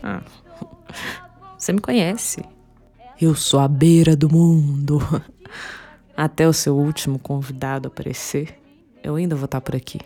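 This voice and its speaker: Radio drama role: mysterious Brazilian spirit woman, deep, husky, very slow, hushed menace. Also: fairly even intonation, faint music behind. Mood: sly. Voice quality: husky, breathy. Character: mysterious, ominous spirit of the world's edge.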